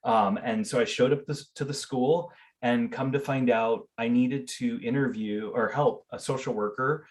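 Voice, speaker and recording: A man's voice: medium pitch, fairly even intonation, normal speed, few pauses. A middle-aged man who grew up speaking Spanish, telling stories in a casual interview with many fillers and restarts. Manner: earnest storytelling with fillers and restarts. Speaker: interview guest.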